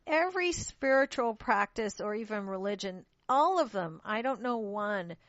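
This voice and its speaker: A woman's voice: mid-range voice, expressive, moderate pace, a bit bright, no room sound. A woman who grew up in London, hosting a radio interview in an enthusiastic, chatty way and often restarting her sentences. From born in Pakistan, grew up in London. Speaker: radio show host. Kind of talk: casual interview chat. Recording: dull lo-fi radio audio.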